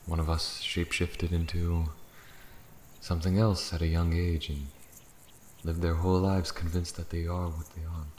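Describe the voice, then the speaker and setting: An ancient dragon in elven male disguise, acted by a man, speaks in an extremely deep voice. He is proud, amused and deliberate, with theatrical fantasy ASMR phrasing. The sound is roomy and echoing, with faint crickets and nature ambience behind. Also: little pitch movement, darkish tone, slight background noise, lightly acted. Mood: condescending, amused. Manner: slow, deliberate, theatrical, breathy near-whispers at times.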